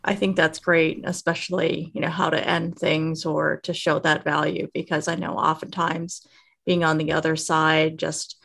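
Female guest podcast interviewer, lower-pitched voice with plenty of vocal fry, conversational. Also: a bit bright.

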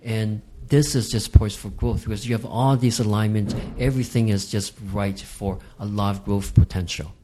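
A fairly low-voiced man who grew up in Hong Kong talks as a podcast guest. He explains the property market in a measured, emphatic way. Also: fairly even intonation, dark tone, slight background noise. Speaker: real estate guest expert. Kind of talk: podcast interview.

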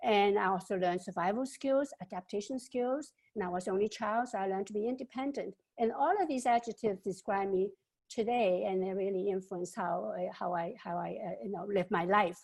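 An older woman in her seventies with a gravelly, fry-tinged voice. She answers an interview question at length, and her reflective telling stops and starts with fillers and repeated words. Her pitch sits in the middle range. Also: brisk, a little mellow. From China. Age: 70s.

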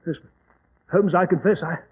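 An earnest, courteous detective's companion in a 1940s radio play. His voice is high and rough-edged, hesitant and deferential. The recording is tinny and narrow, like an old telephone line. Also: steady tone, moderate pace. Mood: puzzled, astonished. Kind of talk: 1940s radio drama acting.

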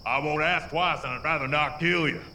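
A steady male gunner warns the stranger off with calm, quiet menace. His voice is bright and a little high as a man plays him. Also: normal speed, slight background noise. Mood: threatening. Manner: calm, threatening. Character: cool male turret gunner.

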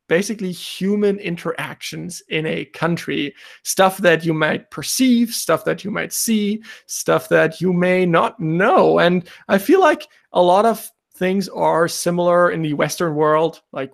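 A man's voice: a little high, vocal fry. A man from Germany hosting a podcast in casual remote chat, upbeat and rambling, laughing easily. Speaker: podcast host. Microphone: remote recording setup.

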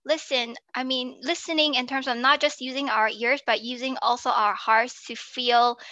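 Bright, crisp-voiced woman guest, hesitant. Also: high voice, fairly even intonation, moderate pace, no echo. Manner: hesitant, many fillers, warm and grateful. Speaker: podcast guest. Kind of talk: conversational interview.

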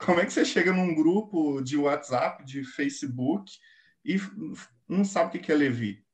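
A man's voice: fairly high pitch, animated, normal speed. A Brazilian-accented man co-hosting a casual podcast chat, joking and playful. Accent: Brazilian Portuguese. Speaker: podcast co-host.

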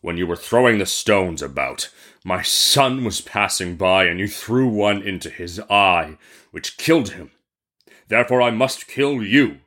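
A man's voice: on the low side, lively intonation, unhurried, fairly bright tone. A man voicing a huge, ancient, wrathful genie in a storybook tale, speaking in a menacing, commanding, formal way. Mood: wrathful, stern.

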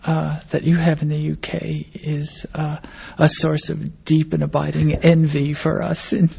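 An older American woman with a husky, creaky voice gives conversational interview answers. The pitch moves little and the phrasing is thoughtful and hesitant. The recording is dull and lo-fi. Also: monotone, a little slow. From grew up in Indonesia and the Philippines, American-born. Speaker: interviewee, academic biologist.

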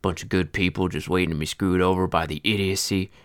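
Male actor as a bitter old Western storyteller in his sixties: deep, low voice, old-time American twang, grumbling and sardonic. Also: steady tone, fast, clear, resonant tone, dry recording, clean studio sound, lightly acted. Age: 60s. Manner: grumbling, sardonic, swears freely. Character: bitter foul-mouthed old male feed seller. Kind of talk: first-person storytelling narration.

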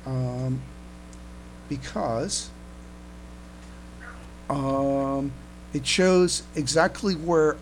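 A man co-hosting a casual fan podcast, speaking haltingly and often repeating his words as he comments. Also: mid-range voice, fairly even intonation. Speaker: podcast co-host. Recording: noisy home recording with hum.

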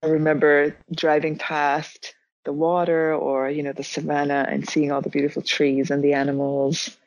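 A young woman from Zimbabwe, raised speaking Dutch, telling stories in a relaxed conversation, reflective and full of small restarts. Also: sits low, normal speed, no echo, muffled recording. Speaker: interviewee. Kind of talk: casual conversation.

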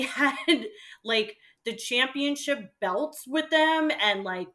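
A middle-aged woman reminiscing casually and emphatically as an interview guest, peppering her sentences with "like". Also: high-pitched. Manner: animated, emphatic, peppers speech with "like". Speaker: interview guest, former college radio host.

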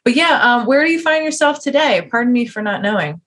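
A woman's voice: a little high, lively intonation, fairly quick, a bit bright. An American woman hosting a casual, chatty interview in an informal, effusive way. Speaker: podcast host.

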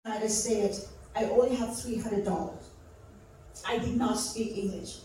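A woman's voice: mid-range voice, fairly even intonation, a little slow, mellow. A woman with a Thai accent giving a personal motivational talk, telling her story to an audience and repeating words for emphasis. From Thailand. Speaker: conference speaker. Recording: echoey hall from far off, faint noise.

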